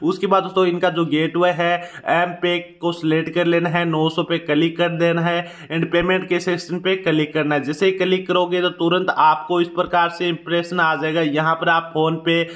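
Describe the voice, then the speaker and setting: A man guides viewers through an app in a steady, repetitive tutorial patter. His voice sits high and barely rises or falls. It sounds like a phone mic held close to the screen indoors. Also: normal speed, few pauses. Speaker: YouTube app reviewer. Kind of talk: screen walkthrough narration.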